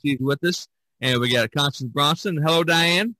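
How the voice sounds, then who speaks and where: A man hosting a casual podcast, speaking in a halting, deliberate way. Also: fairly high pitch, pushed and bright, dry recording, low-quality recording. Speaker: podcast host.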